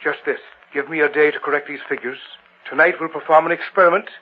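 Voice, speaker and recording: An actor playing an older, obsessive and patronizing occult scholar in old-time radio horror, speaking gravely and theatrically. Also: male voice, fairly high pitch, steady tone, fast talker. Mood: fervent anticipation. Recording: dull, noisy old radio recording.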